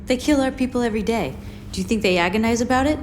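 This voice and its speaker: A woman's voice: fairly high pitch, fast talker, a little mellow, slight background noise, natural voice. An actress playing a hardline, militant female android rebel in a video game, firm and defiant. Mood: defiant, resolute.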